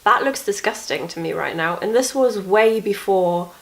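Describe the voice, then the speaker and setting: A woman's voice: medium pitch, expressive, normal speed, noisy background. A young woman telling stories from bed in a chatty, wry, self-mocking way, sniffly and coughing from a cold. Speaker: vlogger. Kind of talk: casual storytelling vlog from bed.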